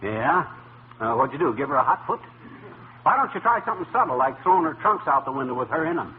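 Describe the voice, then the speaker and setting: An actor playing a blustery, folksy, grumbling husband who wisecracks in 1940s radio comedy style. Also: male voice, fairly high pitch, animated, fast talker, natural voice. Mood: wisecracking. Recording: tinny, hissy old radio broadcast.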